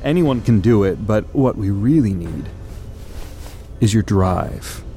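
An actor playing a nervy male official in an audio drama, coaxing and evasive, speaking haltingly and earnestly. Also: fairly low pitch, expressive, dark tone, a little hiss or hum. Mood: urgent persuasion. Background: music.

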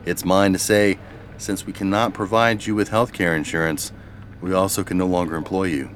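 A man's voice: low-pitched, steady tone, normal speed, slight background noise, close to an everyday voice. A man playing an officious, coldly polite corporate boss in an audio comedy, speaking formally and with breezy callousness. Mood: breezy, callous. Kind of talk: audio drama comedy dialogue.

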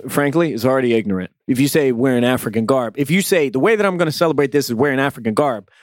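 Man, podcast co-host, measured, thoughtful, mid pitch, dry sound. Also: no room sound. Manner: thoughtful, measured, joking asides. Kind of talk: casual podcast conversation.

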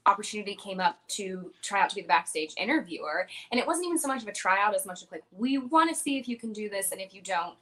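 A woman's voice: medium pitch, expressive, quick pace, few pauses, fairly bright tone. A young woman, a wrestler and former radio broadcaster, answering interview questions in a confident, chatty way with frequent filler words.

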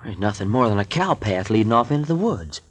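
A man's voice: fairly low pitch, big pitch swings, brisk, husky. An actor playing a brash, joking male sidekick in a 1940s radio drama, folksy and wisecracking.

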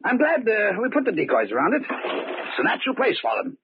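An actor playing a fussy, blustering, wealthy older uncle who grumbles and stammers in an old radio drama. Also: male voice, sits high, wildly swooping pitch, brisk. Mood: musing. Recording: dull, hissy old radio recording.

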